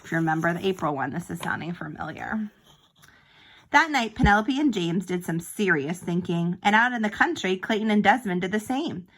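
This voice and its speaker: A woman's voice: on the low side, lively intonation, fairly quick, a bit bright, rough recording. A woman reading a picture book aloud to young children like a teacher, expressively and with small explanatory asides.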